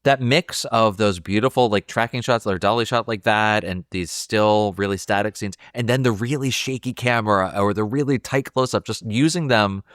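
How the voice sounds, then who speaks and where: A man's voice: lowish voice, normal speed, hardly any pauses, fairly bright tone, no echo, studio quality. A man co-hosting a film podcast, casually analysing scenes as he thinks aloud. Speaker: podcast co-host discussing a film.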